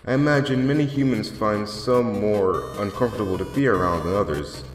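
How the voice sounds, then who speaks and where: A man's voice: on the low side, fairly quick, faint music behind, lightly acted. A man playing an eager, inquisitive fan reading out questions in a comic dub, with echoing reverb on the recording. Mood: thoughtful. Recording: echoey hall-like reverb.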